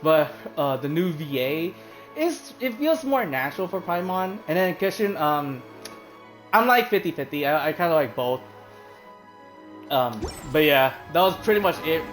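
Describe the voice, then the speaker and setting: A man's voice: a little high, lively intonation, normal speed, fairly bright tone, soft background music. A man streaming a game and chatting casually, rambling with lots of fillers and the odd curse word, in a hot room with a fan. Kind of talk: casual commentary while playing a game.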